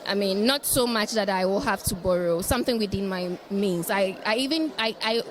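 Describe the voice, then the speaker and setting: A woman's voice: mid-range voice, normal speed. Woman from Ghana giving thoughtful answers. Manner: thoughtful, repeats herself while explaining. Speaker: street interviewee. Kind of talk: casual street interview answers.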